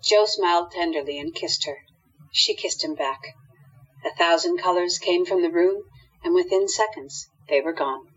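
A woman's voice: fairly low pitch, moderate pace, a bit bright, husky. A woman narrating a scripted radio drama as storyteller, on dull lo-fi audio.

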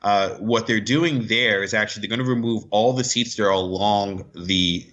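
Male radio guest, sports analyst, lower pitch, bright edge, explanatory and chatty, lo-fi sound. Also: moderate pace.

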